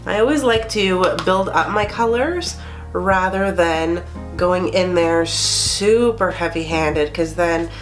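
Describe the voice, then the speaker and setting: A woman's voice: lowish voice, takes it slowly, soft background music, rough recording. A woman in her thirties with a stuffy, nasal cold voice, chatting casually as she reviews a palette and does her makeup in a small bedroom. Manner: chatty, explains each step while applying makeup. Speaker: beauty vlogger. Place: small bedroom with string lights, indoors.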